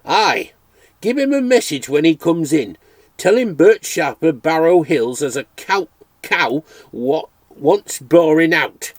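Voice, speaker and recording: A man doing a plump, eager farmer, pitched above his own voice. He talks loudly and heartily, with a husky edge to the voice. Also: expressive, slow pace, no room sound. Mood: hearty. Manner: broad rural dialect, hearty and loud.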